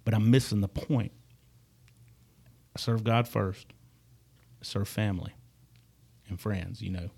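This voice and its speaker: Husky-voiced man, halting and earnest. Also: fairly low pitch, fairly even intonation, a little mellow. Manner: halting, repeats words, earnest. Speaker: guest musician. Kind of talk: casual podcast conversation.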